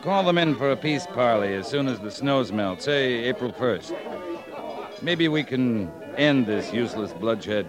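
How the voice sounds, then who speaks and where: A man's voice: mid-range voice, measured pace, lightly acted. Man as earnest cavalry captain, music behind. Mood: earnest, concerned. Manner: earnest, plain-spoken, steady. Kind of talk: 1950s western radio acting.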